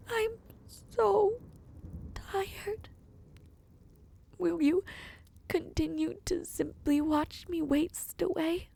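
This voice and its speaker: A woman playing a gravely ill young princess in an audio drama, gentle but resolute, with soft, breathy, formal and pleading speech. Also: very high-pitched, exaggerated voice. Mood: anguished, weary. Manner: earnest, formal storybook diction. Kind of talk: audio roleplay drama acting.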